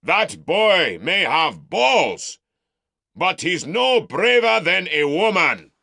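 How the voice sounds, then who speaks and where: A man voicing an older uncle who shouts mockingly to a crowd, pitched well above the narrator's own voice. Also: very slow, creaky, no room sound, studio quality, heavily acted. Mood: scornful. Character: older man, scheming, loud and scornful.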